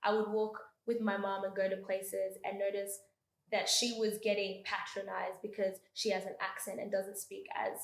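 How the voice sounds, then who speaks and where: A woman's voice: mid-range voice, little pitch movement, normal speed. A young Australian woman in her twenties talks openly and expressively, working out her thoughts aloud with plenty of fillers and restarts. Her voice sits in an ordinary mid range. The room gives her voice a noticeable echo. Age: 20s. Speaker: podcast guest. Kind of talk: casual chat.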